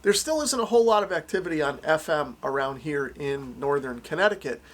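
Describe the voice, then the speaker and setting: A man's voice: on the high side, expressive, brisk, background noise. An American man narrating a step-by-step product demonstration and explaining features as he goes. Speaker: radio reviewer and demonstrator.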